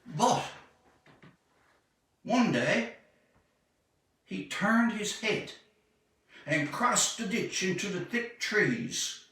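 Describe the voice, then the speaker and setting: Man, literary story reading, bright expressive voice, slow measured phrasing, dramatic pauses, distant mic in echoey room. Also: high voice. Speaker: author reading own short story.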